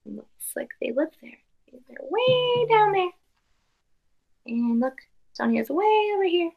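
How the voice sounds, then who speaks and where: A woman doing an expressive, dramatic children's read-aloud, pausing with asides to explain things simply like a teacher. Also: very high-pitched, gravelly, phone-quality sound. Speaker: teacher reading a picture book to young pupils.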